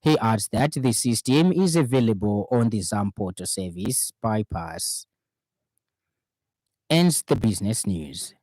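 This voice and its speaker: A man's voice: on the low side, lively intonation, unhurried, a little mellow, no echo, rough recording. Male Zambian radio news reader, formal scripted bulletin delivery, close dry sound. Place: radio studio.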